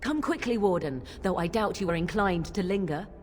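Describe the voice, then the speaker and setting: Haughty fantasy game witch voiced by a woman: very animated, unhurried, mocking, theatrical, long hall reverb. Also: fairly low pitch, faint music behind, close to an everyday voice. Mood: brisk. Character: haughty, sharp-tongued witch, a scornful companion.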